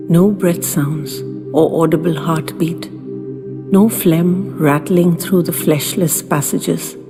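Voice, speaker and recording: A woman narrating a first-person story in a dramatic audiobook style, with theatrical, deliberate, literary phrasing. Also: fairly low pitch. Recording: long reverb with faint music behind.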